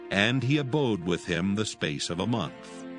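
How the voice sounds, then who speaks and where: A man narrating dramatized scripture as a solemn, measured storyteller. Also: fairly low pitch, expressive. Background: music.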